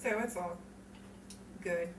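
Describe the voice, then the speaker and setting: A woman's voice: on the low side, slow. A woman chatting casually and playfully during a rehearsal, breaking into giggles as she talks. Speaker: performer and producer in an amateur audio drama. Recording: roomy, distant mic, loud noise and crowd din.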